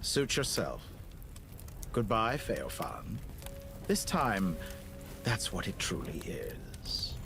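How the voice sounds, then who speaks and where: Cold villainous scientist, low-pitched, eloquent, theatrical, game dialogue over music. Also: male voice, big pitch swings, slow pace, creaky, pauses often, heavily acted. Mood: wry, resigned. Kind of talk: scripted video game voice acting. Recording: music under the voice.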